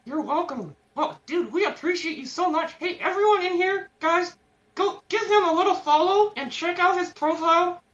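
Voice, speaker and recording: A woman's voice: very high-pitched, moderate pace, a bit bright. A woman hosting a casual live-stream interview, enthusiastic and slangy. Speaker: show host. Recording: muffled lo-fi audio.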